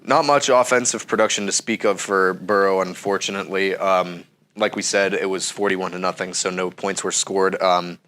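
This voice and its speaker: A man's voice: low-pitched, normal speed, smooth. A young man co-hosting a casual sports chat, sounding stuffy from a cold as he works through stats with frequent ums. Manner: steady, methodical, frequent ums. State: stuffy, under the weather. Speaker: co-host.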